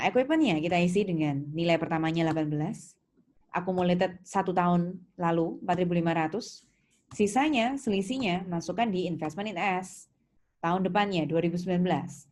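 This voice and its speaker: A woman's voice: on the low side, darkish tone. A woman with an Indonesian accent teaching an accounting lesson step by step, posing questions and answering them herself in an instructive manner. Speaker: accounting lecturer. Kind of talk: recorded lesson, step-by-step explanation. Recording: clearly noisy, with keyboard clicks.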